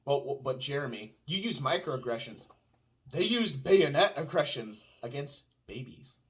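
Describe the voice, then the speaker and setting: A man's voice: medium pitch, lively intonation, normal speed, fairly bright tone. A man with a pronounced Southern US twang, ranting sarcastically at home in a casual chat with his wife. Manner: sarcastic, ranting, answers his own mock questions. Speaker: podcast co-host.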